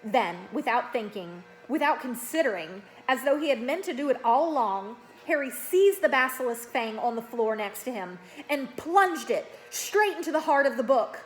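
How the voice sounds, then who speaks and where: A woman reading a children's fantasy novel aloud as an expressive, dramatic narrator. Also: on the high side, normal speed, few pauses, soft background music, poor audio. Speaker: storybook reader.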